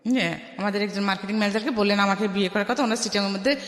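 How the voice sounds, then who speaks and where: Female interview guest, Bangladeshi Bengali accent, halting personal storytelling, mid-pitched, very reverberant room. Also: fairly even intonation, a bit bright. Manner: halting, with repeated false starts and English words mixed in. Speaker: guest telling her life story. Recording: echoey with long reverb.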